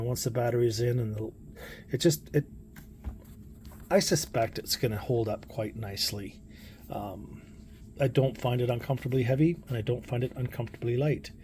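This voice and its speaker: A man's voice: mid-range voice, a little mellow, a little hiss or hum. A man giving a casual, unscripted product review in a plainspoken, hesitant way, thinking aloud as he goes.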